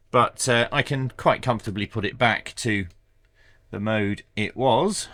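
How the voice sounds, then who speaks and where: A man talks through a hands-on product review. His voice sits on the low side and is recorded dry, with no room sound around it. He explains things in a measured, factual way. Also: fairly bright tone, no room sound. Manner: measured, matter-of-fact explaining. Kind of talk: hands-on tech review commentary.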